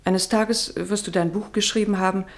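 An actress in a German radio play, playing a patient, mothering lover who gently admonishes. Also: medium pitch, fairly even intonation, normal speed, close to an everyday voice. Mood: gently reproving. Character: caring, patient woman, mothering lover of a troubled writer.

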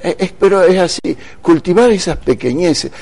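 A man's voice: sits high, moderate pace, vocal fry, a little mellow. A man speaking as a talk show guest, assertive and sententious in motivational conversation. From Argentina.

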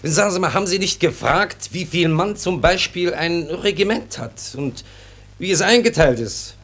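An actor playing a self-important, sly police sergeant in a German radio play, officious and wheedling, with a husky, gravelly voice. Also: male voice, high voice, lively intonation, normal speed, fairly bright tone, full character voice. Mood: insistent, wheedling.

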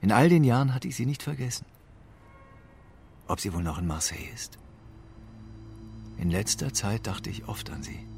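A man's voice: fairly low pitch, animated, normal speed, gravelly, pauses often, loud music behind the voice. An actor playing a weary, embittered homeless man, narrating with brooding reflection in an intimate radio drama. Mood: bleak, grieving. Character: weary, embittered homeless man mourning his best friend.

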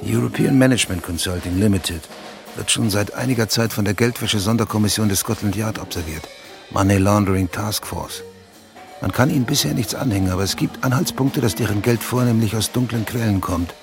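An actor playing a persistent, probing investigative journalist in a naturalistic radio drama, speaking in an insistent, conversational way. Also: male voice, lowish voice, brisk, gravelly, over music. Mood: explanatory, intent.

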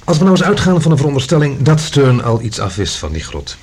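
A man acting a suspicious expedition member who reasons deliberately in a science-fiction radio drama. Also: mid-range voice, sing-song, darkish tone, breathy edge. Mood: suspicious, reasoning.

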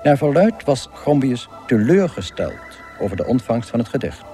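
A man narrating a comic space radio drama as a wry storyteller, in a measured, deadpan manner. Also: mid-range voice, faint music behind, lightly acted.

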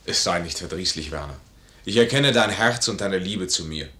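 An actor playing a proud, honourable, impoverished major in a classical radio play, stern and stubborn, with formal, emphatic diction. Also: male voice, deep voice, animated, moderate pace, fairly bright tone. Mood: pained pride. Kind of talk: German classical stage comedy as radio play.

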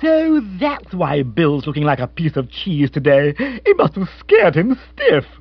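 A man's voice: high-pitched, sing-song, measured pace, no echo, muffled recording, heavily acted. A man voicing a blustering, hearty, tactless upper-class English husband in a storybook, jovial and pompous. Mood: hearty, nosy.

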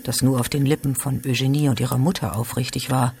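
An actor playing a reflective literary narrator in a radio drama, reading scripted commentary. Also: male voice, mid-range voice, steady tone, quick pace, gravelly, a little mellow, a little hiss or hum, natural voice.

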